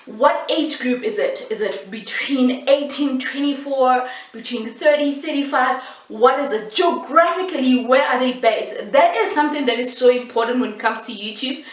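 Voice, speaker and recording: A South African woman, a native Setswana speaker, giving casual vlog tips in an animated, emphatic, playful way with repetition for stress. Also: high voice, normal speed. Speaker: YouTube host giving tips. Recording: echoey room, distant mic.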